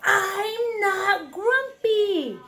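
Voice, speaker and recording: A woman's voice: very high voice, animated, drawn-out words, bright, forceful tone, voice pushed up, exaggerated voice. A woman voicing a grumpy young male monkey, indignant and emphatic, in a children's storybook. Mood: grumpy shouting. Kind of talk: animated children's storybook character voice.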